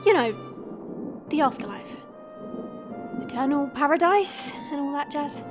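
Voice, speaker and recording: A woman's voice: high voice, lively intonation, slow pace, music in the background. A woman playing a cheeky, chatty young monster girl in an audio roleplay, playful, teasing and theatrical. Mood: wistful.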